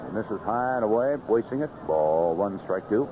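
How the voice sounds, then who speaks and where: A man's voice: fairly low pitch, animated, normal speed, highs cut off, background noise. A man calling baseball play-by-play on 1970s radio in clipped phrases with vivid descriptive calls, on a band-limited old broadcast. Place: ballpark broadcast booth.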